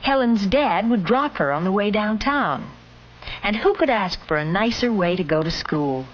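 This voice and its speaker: A woman's voice: mid-range voice, lively intonation, moderate pace, a little hiss or hum. A woman reading scripted narration for a 1950s educational film, addressing the listener directly in an admonishing way. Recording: dull vintage film soundtrack with music. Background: film score music.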